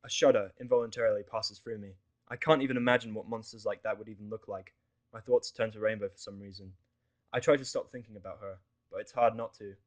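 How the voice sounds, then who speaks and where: A man's voice: lowish voice, fairly even intonation, fast. A man reading scripted first-person fiction as the narrator of an audio story.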